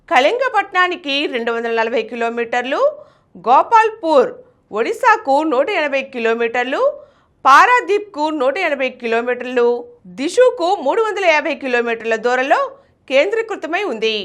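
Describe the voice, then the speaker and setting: High, lively woman newsreader. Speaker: news reader. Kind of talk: scripted news reading.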